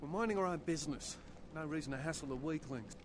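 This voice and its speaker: A man's voice: on the high side, quick pace, background noise, natural voice. An actor playing a fed-up, defensive male street vampire in a video game, grumbling in colloquial speech. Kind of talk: video game dialogue acting.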